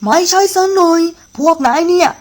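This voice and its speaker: A woman's voice: very high voice, expressive, moderate pace. Woman voicing a bragging cartoon boy. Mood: boastful. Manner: boastful, teasing. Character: show-off boy who thinks smoking is cool. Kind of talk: cartoon voice acting.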